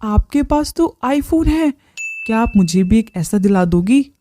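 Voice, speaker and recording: High-pitched greedy young sister-in-law voiced by a woman. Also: lively intonation, unhurried, warm tone, slight background noise. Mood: excited. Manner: eager, wheedling, exclaiming.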